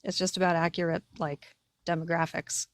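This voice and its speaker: An American woman with a slightly low voice and plenty of vocal fry talks casually as a podcast co-host. She is informal and emphatic, circling back to hammer her points. The sound is close and dry. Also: level tone, moderate pace, no room sound. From Connecticut, United States. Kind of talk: casual conversational discussion.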